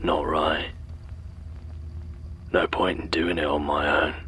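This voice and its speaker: A man playing a menacing, slow-witted inhuman deliveryman who speaks in blunt, halting fragments in an audio horror drama. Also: extremely low pitch, little pitch movement, pushed and bright, a little hiss or hum, heavily acted. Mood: brooding. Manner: halting, terse, clipped fragments.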